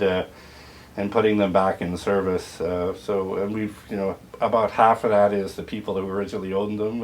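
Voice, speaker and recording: Very low-voiced man explaining audio gear. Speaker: audio dealer and turntable restorer giving a product tour. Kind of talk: informal product demonstration.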